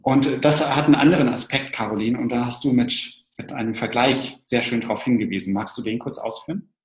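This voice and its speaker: A man's voice: mid-range voice, a little fast. A man explaining ideas thoughtfully, with some restarts. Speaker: co-presenter. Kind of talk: explanatory talk to an online audience. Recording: roomy echo.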